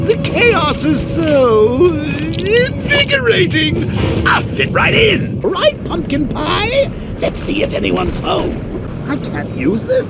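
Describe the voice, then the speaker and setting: An actor playing a manic, theatrical clown supervillain in a video game, cackling and taunting with playful wordplay. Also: male voice, extremely high pitch, gravelly, heavily acted. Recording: tinny lo-fi game audio with loud music.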